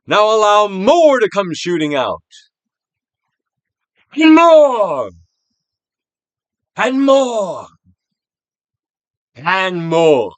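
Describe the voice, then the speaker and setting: A man giving a dramatic, deliberate reading of a self-help book as a guided exercise, with pauses and big swells. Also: high-pitched, very animated, dry recording. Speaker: audiobook reader and actor.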